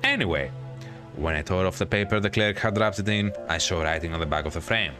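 A man hosting a gaming video, reading a visual novel aloud in a dramatic, drawn-out storytelling way with casual commentary. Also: deep voice, expressive, over music.

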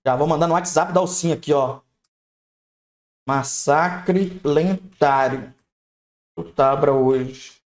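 A young Brazilian man doing excited live game commentary, repeating himself and swearing in slang. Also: on the high side, takes it slowly, long pauses. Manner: excitable, repetitive, heavily profane slang. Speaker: gaming streamer. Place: indoor room, webcam streaming setup.

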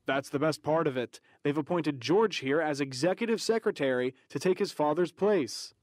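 An actor playing an excited, insistent townsman and board member in a radio drama. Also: on the high side, normal speed, no echo, clean studio sound, natural voice. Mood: persuasive.

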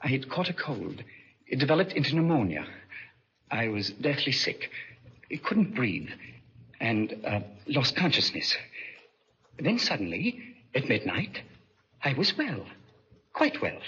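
A man's voice: mid-range voice, wildly swooping pitch, unhurried, pauses often, bright, forceful tone, slightly rough, heavily acted. An actor in a 1940s radio drama playing a desperate, dying young man who foresees the future, speaking earnestly and dramatically. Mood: haunted, grave. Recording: muffled, vintage 1940s radio recording.

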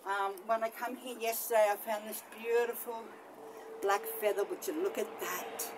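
A middle-aged woman chatting casually to a phone camera outdoors in a rambling, gently enthusiastic way, with exclamations. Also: mid-range voice, fairly even intonation, normal speed. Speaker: vlogger, spiritual practitioner. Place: outdoors in bush and a shallow rock cave. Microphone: phone on selfie stick with attached microphone. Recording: noisy outdoor phone recording, traffic ambience.

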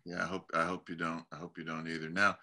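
A man's voice: low voice, flat delivery, fast talker, bright, forceful tone. A middle-aged man from California hosting a community video call, chatting casually with many restarts and fillers, speaking from a home study over a webcam. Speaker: host of church community video-call talk. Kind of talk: casual interview chat. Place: home study lined with bookshelves.

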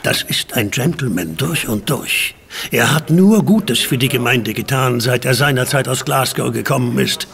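An actor playing a chatty, opinionated village innkeeper in a German audio drama, gossipy and emphatic. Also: male voice, mid-range voice, expressive, moderate pace, creaky, fairly bright tone. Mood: indignant, loyal.

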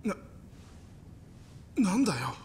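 An actor playing a defiant, hot-headed fourteen-year-old gang boss in a dramatic game scene, brash and rough-spoken. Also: male voice, slow, lightly acted. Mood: rattled. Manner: brash, rough slang, taunting. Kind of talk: dramatic video game acting in Japanese.

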